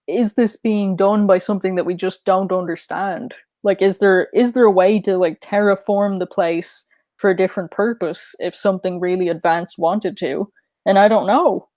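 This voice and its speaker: A woman's voice: mid-range voice, darkish tone, dry recording. Musing woman, dry close mic. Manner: musing, speculative, with fillers. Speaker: podcast co-host. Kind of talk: casual conspiracy podcast chat.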